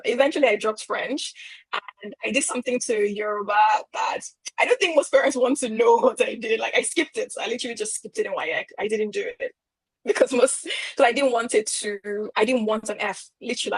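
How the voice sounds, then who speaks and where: A woman's voice: mid-range voice, vocal fry, slightly rough, no room sound. A young woman from Lagos, a panel guest telling her school story in a chatty, easy way. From Nigeria (Lagos). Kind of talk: casual storytelling.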